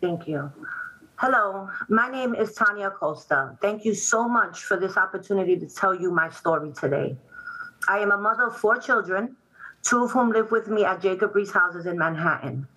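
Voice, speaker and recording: Bright-voiced woman reading her testimony. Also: medium pitch, lively intonation, normal speed, poor audio. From Puerto Rico, raised in New York City. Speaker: client testifying at a public hearing. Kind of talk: reading a prepared statement. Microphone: video call webcam.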